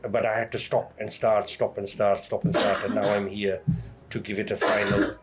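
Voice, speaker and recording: A man's voice: lowish voice, level tone, normal speed, slight background noise. A man from Leeds with a Yorkshire accent, talking casually as an interview guest. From Leeds, Yorkshire, England. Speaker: podcast guest, online content creator. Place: in a pub over a meal.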